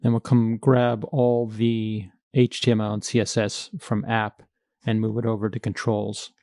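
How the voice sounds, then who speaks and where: A man's voice: lowish voice, flat delivery, a little slow, darkish tone, no echo, studio quality. A man narrating a step-by-step coding tutorial, informally thinking aloud as he works. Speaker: programming tutorial instructor.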